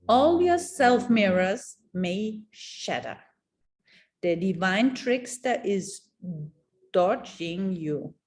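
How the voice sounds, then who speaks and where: A woman reading a text aloud as a narrator, in a theatrical, deliberate storytelling way with dramatic pauses. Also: mid-range voice, darkish tone.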